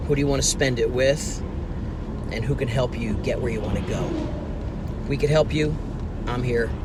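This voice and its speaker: A man's voice: medium pitch, normal speed, background noise. Earnest man in his fifties, in a car. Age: 50s. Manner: earnest, emphatic, repeats key points. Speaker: business coach. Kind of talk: motivational talk to camera. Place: inside a parked car. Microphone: phone camera at arm's length.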